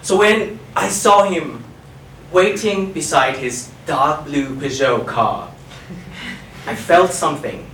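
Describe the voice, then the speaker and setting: Man from Thailand, storyteller; unhurried, animated pitch, dry self-mocking wit; distant mic in a reverberant hall. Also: a little hiss or hum. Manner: wry, self-mocking humor. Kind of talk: live stage storytelling.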